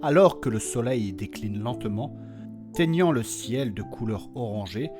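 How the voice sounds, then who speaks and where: A man with a husky voice narrating a scripted audio fiction as its storyteller and host. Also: mid-range voice, animated, unhurried, over music. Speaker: narrator and podcast creator.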